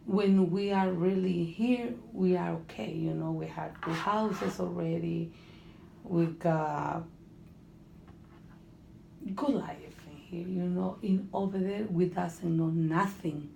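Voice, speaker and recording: A middle-aged Honduran woman talks in slow, broken English, restarting her phrases and groping for words. Her voice sits low with heavy gravel and a soft, mellow tone. The room has a noticeable echo and faint background noise. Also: fairly even intonation. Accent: Honduran Spanish. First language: Spanish. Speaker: interviewee. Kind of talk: casual conversation.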